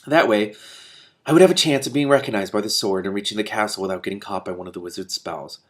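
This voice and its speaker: A man's voice: on the low side, fast, a bit bright. A man reading a fantasy book aloud as a narrator, adding comments of his own. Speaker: reader and commentator. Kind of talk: expressive book read-aloud with off-script commentary.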